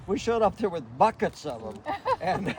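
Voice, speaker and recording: A man with a fairly high voice gives an informal tribute outdoors. His talk is plain and folksy, and there is clear background noise around him. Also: very animated, normal speed. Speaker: iris club member giving a tribute. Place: outdoors in a garden. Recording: noisy outdoor recording.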